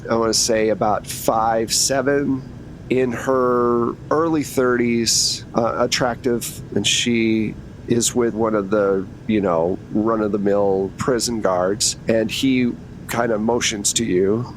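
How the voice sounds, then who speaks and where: A man's voice: fairly even intonation, takes it slowly, low-quality recording. A man casually narrating a tabletop roleplaying game as game master. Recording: noticeable low rumbling background noise.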